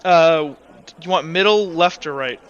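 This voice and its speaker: Male game master, slightly high, forceful voice, halting improvised narration, slightly dull lo-fi audio. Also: smooth. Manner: halting, filler-heavy.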